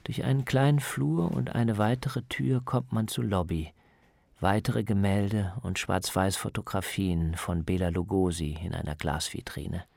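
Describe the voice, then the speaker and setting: A man's voice: low voice, steady tone, measured pace, creaky, darkish tone, dry recording. A man narrating a scripted radio travel feature as its reporter.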